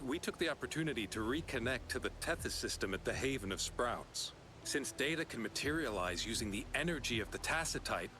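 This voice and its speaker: A man's voice: mid-range voice, background noise, natural voice. A man playing an earnest, formal and resolute observatory keeper in a video game. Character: earnest male observatory keeper rallying fighters. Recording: game music behind the voice.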